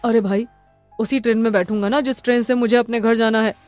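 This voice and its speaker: Woman voicing a bold, irritable male traveller, higher-pitched. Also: steady tone, a little mellow, no echo, soft background music, close to an everyday voice. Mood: annoyed, dismissive. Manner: brisk, argumentative, challenging. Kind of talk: cartoon story dialogue.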